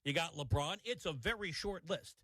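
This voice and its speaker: A man's voice: a little high, lively intonation, normal speed, a bit bright, no echo. An older man hosting a sports talk radio show, giving an opinionated monologue with wry asides. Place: radio studio.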